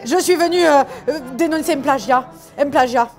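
A woman's voice: high voice, moderate pace, soft background music. A woman voicing a sixty-year-old male singer from Marseille, grumbling in a put-on Marseille accent. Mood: aggrieved. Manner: hesitant, indignant grumbling.

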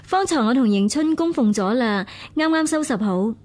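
An actress playing a dutiful, deferential young maid in a radio drama. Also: female voice, high voice, expressive, normal speed, a little mellow. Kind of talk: Cantonese radio drama acting.

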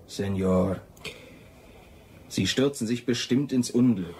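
Lowish-voiced, earnest, worried companion. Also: male voice, slow, a little mellow, a little hiss or hum. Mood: worried, pleading. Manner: earnest, cautioning. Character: earnest, worried loyal Brazilian companion warning his master. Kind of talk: German radio drama acting.

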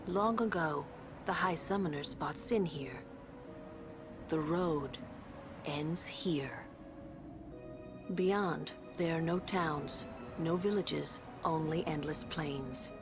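An actress playing a composed, solemn guardian in a video game, speaking in a deliberate, grave manner. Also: female voice, low-pitched, over music. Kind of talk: video game cutscene acting.

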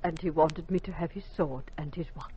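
An actress playing a calm, grieving mother who has visions, in a 1950s radio drama. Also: deep voice, brisk, slight background noise, close to an everyday voice. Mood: sorrowful, entranced. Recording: dull 1950s radio recording.

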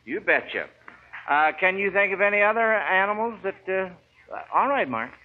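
A man's voice: sits high, animated, normal speed. An American man hosting a 1940s radio quiz in a folksy, encouraging, avuncular way. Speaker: quiz show host. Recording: dull 1940s radio recording.

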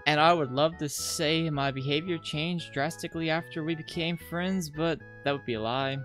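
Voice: mid-range voice, steady tone, normal speed, fairly bright tone. A streamer reading a visual novel aloud as narration, stumbling now and then over the text. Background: background music.

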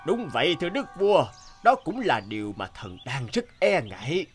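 A man voicing a grave court sorcerer in a cartoon, deferential, hesitant and measured. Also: on the high side, wildly swooping pitch, faint music behind.

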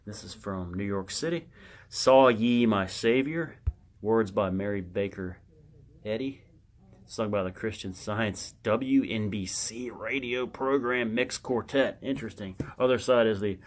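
A man giving casual, unscripted show-and-tell commentary as a collector, halting and muttering to himself as he reads aloud. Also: on the low side. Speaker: record collector showing his records.

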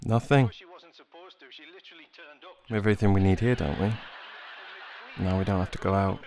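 An actor playing a laid-back, flippant boyfriend who talks in an offhand, joking way in an audio play. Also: male voice, low voice, steady tone, normal speed, a crowd in the background.

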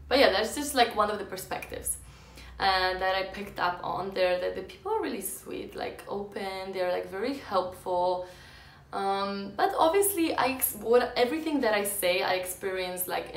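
A woman's voice: medium pitch, a bit bright. A young woman in her twenties chatting casually to camera in her bedroom, rambling with lots of fillers and restarts. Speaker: vlogger. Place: bedroom indoors. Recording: echoey room.

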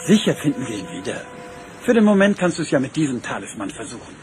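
An actor playing a patient archaeologist uncle in a German radio play, calm and explanatory. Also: male voice, medium pitch, quick pace, music in the background, lightly acted. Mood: explaining. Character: adult male archaeologist, patient uncle, knowledgeable.

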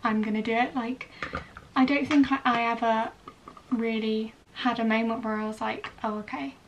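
High-voiced young woman from Wales, distant mic. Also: fairly even intonation, normal speed, highs cut off. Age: young adult. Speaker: vlogger, ballet dancer in training. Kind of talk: casual chatty get-ready-with-me vlog to camera. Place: small bedroom indoors. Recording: slightly distant mic, clearly noisy room.

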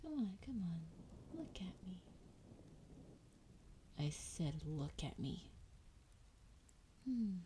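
A woman playing a lonely, ancient female dragon, tender and teasing, in a breathy, intimate whispered ASMR roleplay. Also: on the low side, big pitch swings, heavily acted. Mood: coaxing, firm. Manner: slow, coaxing, affectionate. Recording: clear background noise, ambience.

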